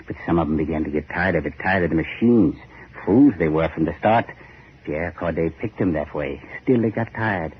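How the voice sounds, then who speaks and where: An actor playing a grim island man telling a dark history with ominous emphasis, in 1940s radio horror style. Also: deep voice, close to an everyday voice. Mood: insistent, bitter. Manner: emphatic, ominous, repetitive storytelling. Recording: scratchy, muffled 1940s radio recording.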